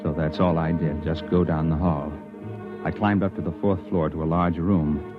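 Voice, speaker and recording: Low-voiced rattled investigator, muffled recording. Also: male voice, steady tone, moderate pace. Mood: tense narration. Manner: hard-boiled storytelling, uneasy protests when speaking. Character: wary, rattled male investigator narrating his own ordeal. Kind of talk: 1950s radio drama acting and narration. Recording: muffled 1950s radio recording with music.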